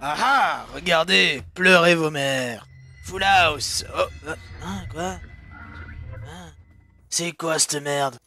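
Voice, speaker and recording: A man's voice: a little high, takes it slowly, gravelly, pushed and bright, faint music behind, exaggerated voice. A man voicing a surly, foul-mouthed male demon barman who answers everything with sarcasm. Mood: grumpy.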